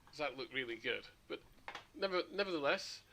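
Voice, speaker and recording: A man streaming a flight simulator, chatting casually with rambling asides, his voice a little hoarse. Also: mid-range voice, animated, fairly quick, pushed and bright, slight background noise. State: slightly hoarse. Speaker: flight sim livestreamer. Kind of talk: casual livestream commentary.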